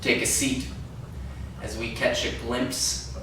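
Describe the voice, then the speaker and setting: A man's voice: mid-range voice, flat delivery, takes it slowly, background noise, natural voice. A man playing an ominous, grandly serious narrator in a comedy, delivering portentous scripted narration. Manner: portentous, solemn. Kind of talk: scripted dramatic trailer-like narration. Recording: distant, very echoey, music underneath. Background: music.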